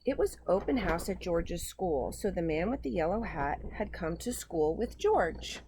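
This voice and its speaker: Animated woman reading a children's story. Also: lowish voice, unhurried, a little hiss or hum, low-quality recording. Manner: expressive, simple storytelling for young children. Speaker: children's storytime host, gymnastics coach. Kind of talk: children's picture book read-aloud.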